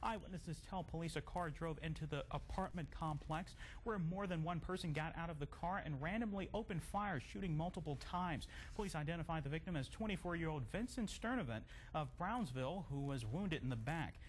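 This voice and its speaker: A man's voice: on the high side, brisk, hardly any pauses. A man with an American accent reading scripted local TV news as an anchor and reporter. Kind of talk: scripted local TV newscast delivery. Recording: noticeable background noise.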